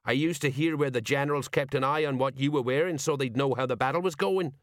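A man's voice: mid-range voice, fast talker, dry recording, studio quality, voice pushed up, lightly acted. A man voicing a defensive, chatty older working-class police sergeant who rambles in colloquial, folksy speech, for an audiobook. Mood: exasperated.

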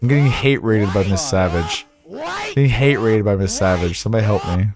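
A man with a raspy, hoarse voice chatting casually with his livestream viewers, rambling, sarcastic and swearing as he reads figures aloud. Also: on the low side, brisk, crowd noise. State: hoarse, sore voice.

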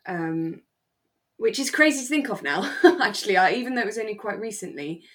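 A woman's voice: medium pitch, animated, fairly quick, a bit bright. A woman co-hosting a podcast in casual, reflective chat, hedging and thinking aloud as she goes. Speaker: podcast co-host. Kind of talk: casual review chat about a radio drama.

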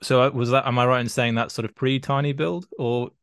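A man's voice: medium pitch, steady tone, a little fast, fairly bright tone, clean tone, dry recording, clean studio sound. A man hosting a casual interview, feeling his way tentatively through questions and rephrasing as he goes. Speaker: podcast host, interviewer.

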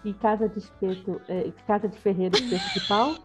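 A woman's voice: medium pitch, fairly even intonation, fairly quick, soft background music. A Brazilian woman on a podcast panel, chatting casually with the group.

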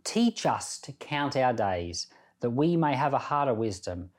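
A middle-aged man with a medium-pitched voice teaches from the Bible. He speaks earnestly and conversationally, setting out his phrases with deliberate care as he reads and comments. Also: sing-song. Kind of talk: Bible reading with devotional commentary.

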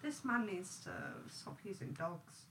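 A woman's voice: medium pitch, lively intonation, a little slow. A young woman chatting casually among friends. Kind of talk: casual group chat. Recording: loud background noise.

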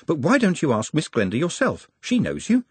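A man voicing a gentle young goblin in a storybook, formal and polite, with a soft voice that has a breathy, husky grain. It sits up close with no room around it. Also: fairly high pitch, huge pitch swings, fairly quick, no echo, voice pushed up, full character voice. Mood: earnest.

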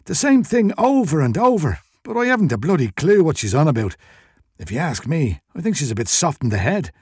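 A man voicing a burly, irritable Irish shopkeeper in a short story, wheezy and gruff in his complaining. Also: a little high, very animated, a little fast, a little mellow, dry recording, studio quality, voice pushed up. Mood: grumbling.

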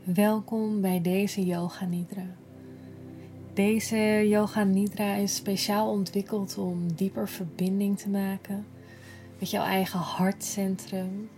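A woman guiding a yoga nidra relaxation in a gentle, soothing, unhurried manner, breathy at times. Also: medium pitch. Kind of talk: slow guided relaxation meditation.

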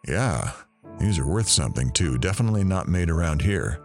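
Very deep-voiced, dry male investigator, played by an actor. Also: very animated, a little fast, soft background music. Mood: wry, matter-of-fact. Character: dry, sardonic male investigator searching rooms. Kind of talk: audio drama acting.

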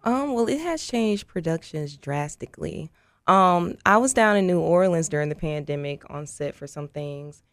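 A woman chatting as an interview guest in an animated, colloquial way, acting out what people say. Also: deep voice, normal speed, no echo, clean studio sound. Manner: animated, colloquial, acts out quotes. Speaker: guest, actress. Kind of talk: casual interview chat.

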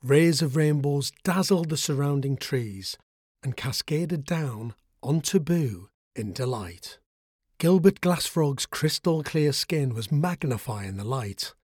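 A man reading a bedtime story aloud, soothing and expressive. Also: fairly high pitch, slow pace, breathy edge, no room sound, clean studio sound.

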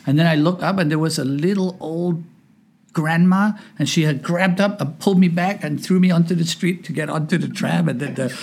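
Man in his fifties, husky, jokey podcast guest, self-deprecating stories. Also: on the high side, fairly quick, a little mellow. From Northern Ireland, raised in Hong Kong. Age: 50s. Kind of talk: casual podcast interview.

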